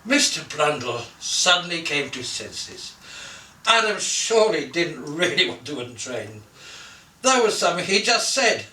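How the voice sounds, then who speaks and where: A man reading a children's Christmas story aloud in an expressive, theatrical way, savouring lists and softening the ends of his phrases. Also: a little high, moderate pace. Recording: roomy echo, distant from the mic.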